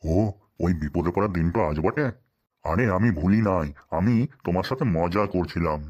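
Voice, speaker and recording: A man's voice: low-pitched, expressive, moderate pace, a little mellow, highs cut off. A man voicing a sly, penny-pinching, henpecked husband in a comedy cartoon, speaking a rural western Bengal dialect with folksy, wry asides. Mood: placating. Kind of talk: comic cartoon dialogue.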